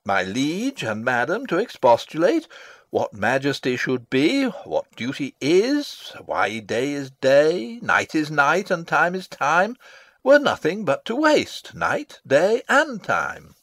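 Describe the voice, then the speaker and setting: Pompous old royal advisor, shakespeare style, slow n rambling, super swoopy pitch. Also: male voice, wildly swooping pitch, a bit bright, dry recording, exaggerated voice. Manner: rambling, self-satisfied, theatrical. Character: pompous, long-winded old male royal counsellor and father. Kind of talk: classical Shakespearean stage acting, dramatic reading.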